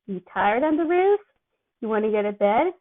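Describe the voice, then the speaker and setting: A tired, caring father figure, read by a woman in an amateur story reading. He coaxes gently with a touch of exasperation, in a feminine-pitched voice on a thin, phone-like recording. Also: animated, moderate pace, lightly acted. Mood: gentle, coaxing. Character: worried, weary, fatherly man soothing a child.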